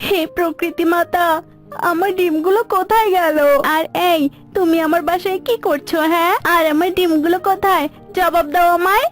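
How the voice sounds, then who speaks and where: A woman's voice: very high-pitched, steady tone, moderate pace, faint music behind. A woman voicing a small, distraught mother bird in a cartoon, pleading and demanding answers.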